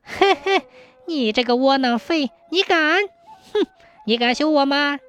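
A bad-tempered young wife from a folk tale, acted by a woman storyteller. She is high-pitched, sneering and taunting, scolding with a snicker over music. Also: expressive, measured pace, a bit bright. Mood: gloating, taunting.

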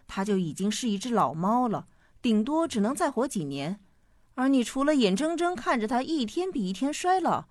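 A woman playing a gentle, patient mother who explains earnestly in a radio drama. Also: a little high, dry recording, studio quality.

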